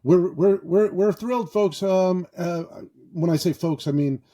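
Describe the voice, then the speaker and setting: A man's voice: high-pitched, a little slow, warm tone. A man hosting a podcast, joking about himself in a loose, improvised monologue from a small foam-padded closet. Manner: self-deprecating and jokey. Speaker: podcast host.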